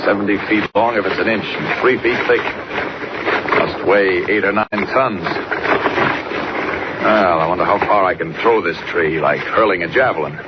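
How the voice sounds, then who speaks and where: A male actor as an American radio-serial hero, earnest and commanding. His delivery is crisp and urgent in 1940s style. The old recording is dull and heavy with noise. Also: low voice, normal speed, natural voice. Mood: determined, powerful. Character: earnest, urgent young newspaper reporter, secretly a mighty superhero.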